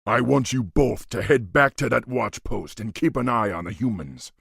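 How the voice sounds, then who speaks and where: An actor playing a stern, commanding orc war chief in a video game, authoritative and deliberate, with a gravelly, husky voice. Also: male voice, mid-range voice, a bit bright, no echo, clean studio sound, heavily acted.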